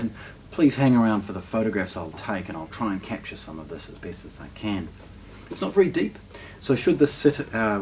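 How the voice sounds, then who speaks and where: A man's voice: on the low side, darkish tone. A man giving a casual, unscripted product walkthrough as a shop presenter, hesitating and rephrasing as he goes. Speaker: shop presenter demonstrating audio gear. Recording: distant mic with faint background noise.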